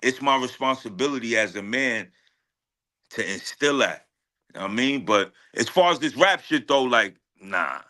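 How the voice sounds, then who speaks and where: A man's voice: medium pitch, lively intonation, moderate pace, bright, forceful tone. A man, a rapper talking casually in an interview with heavy slang, confident and emphatic, often repeating his phrases. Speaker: guest rapper.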